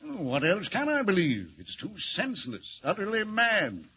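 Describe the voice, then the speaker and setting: An actor playing a harried, exasperated railroad superintendent in a 1940s radio serial, blustering and emphatic. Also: male voice, high voice, huge pitch swings, normal speed. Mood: exasperated, worried. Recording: muffled, noisy 1940s radio recording.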